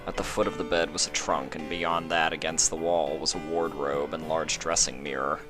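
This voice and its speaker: Deep-voiced man reading a story aloud. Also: music in the background. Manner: even, measured narration. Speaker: fanfic reader.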